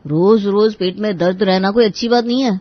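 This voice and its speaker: A woman's voice: medium pitch, a little fast, no room sound, highs cut off, natural voice. A woman voicing a naive, indignant younger husband in a family cartoon, earnest and protesting. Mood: earnest, concerned. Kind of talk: Hindi family cartoon voice acting.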